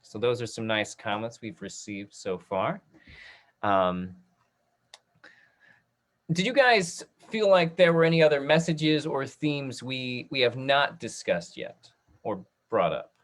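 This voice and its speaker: A man's voice: medium pitch, takes it slowly, fairly bright tone. A man moderating a panel talk, putting questions to guests and reading out comments.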